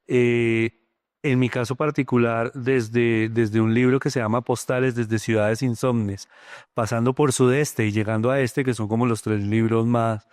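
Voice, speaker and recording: A middle-aged poet from Colombia with a Bogotá accent, speaking thoughtfully in an interview, often pausing with fillers. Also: male voice, medium pitch, a little mellow, dry recording, studio quality. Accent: Bogotá Colombian Spanish. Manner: thoughtful, many hesitant fillers. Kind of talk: reflective interview talk.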